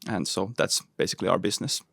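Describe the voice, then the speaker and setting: A man's voice: low-pitched, quick pace, vocal fry, fairly bright tone, breathy edge, no room sound, clean studio sound. A man introducing himself on a podcast, hesitant and full of fillers. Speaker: startup guest.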